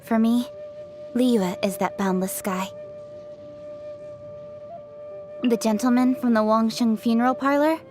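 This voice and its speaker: Woman acting as young female game official, highish voice, articulate, frank, self-assured, music behind. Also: fairly even intonation, moderate pace, darkish tone, natural voice. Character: confident, opinionated, hardworking young woman official of a fantasy city. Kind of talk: scripted video game character voice lines.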